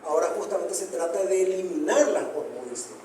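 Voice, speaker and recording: A man's voice: high voice, lively intonation, fairly quick, dark tone, slight background noise. A man speaking as a psychoanalyst on a panel, earnest and declamatory, asking rhetorical questions. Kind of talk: panel discussion remarks. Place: large hall, book presentation. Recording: echoey hall from far off.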